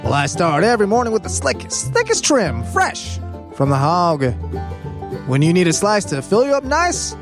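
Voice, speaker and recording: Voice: medium pitch, wildly swooping pitch, normal speed. Folksy southern farm ceo selling stuff, hammy drawl all over the place, country music behind. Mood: folksy, boastful. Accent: Southern American. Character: Jimmy Thickum, folksy boastful country hog farm boss. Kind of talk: hammy radio commercial parody.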